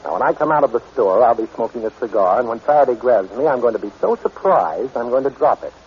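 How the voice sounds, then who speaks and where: A man's voice: medium pitch, lively intonation, quick pace, natural voice. A breezy reformed crook from a 1940s radio play, played by a man, glib and teasing. His delivery swings freely up and down. The old recording is dull with a light layer of noise. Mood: confident, teasing. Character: breezy, wisecracking male reformed-thief adventurer with a good heart.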